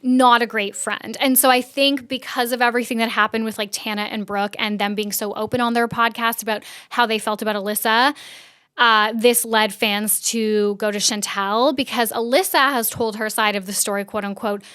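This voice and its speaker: Female podcast host for casual online news commentary; higher-pitched, crisp resonant voice, informal, chatty delivery with emphasis. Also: steady tone, normal speed, fairly bright tone.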